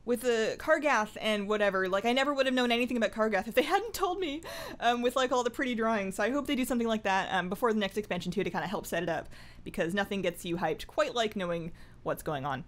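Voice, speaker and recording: A woman's voice: a little high, fast talker. A woman chatting casually to camera as a gaming vlogger at her desk, with playful asides and self-interruptions. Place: indoors at a home desk.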